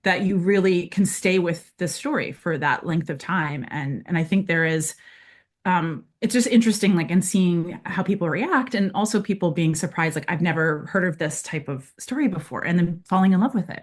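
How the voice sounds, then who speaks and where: A woman's voice: lowish voice, moderate pace. A woman guest, a co-writer, chatting casually in an interview, full of fillers and acted-out quotes. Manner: chatty, many fillers, acts out quoted lines.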